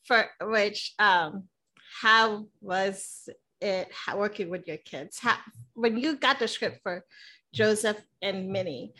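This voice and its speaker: A woman's voice: mid-range voice, slow, sharp tone. A woman in her thirties from the Philippines and the United States, hosting a podcast in a casual, chatty, giggly and effusive way. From born in the Philippines, moved to the United States at seven. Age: 30s. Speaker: podcast host.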